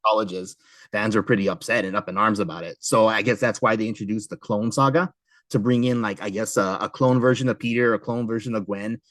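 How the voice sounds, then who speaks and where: A man's voice: fairly low pitch, steady tone, a little fast, a bit bright, dry recording. A man hosting a podcast, chatting casually and explaining things in a conversational way. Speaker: podcast host. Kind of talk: casual chat about comic books.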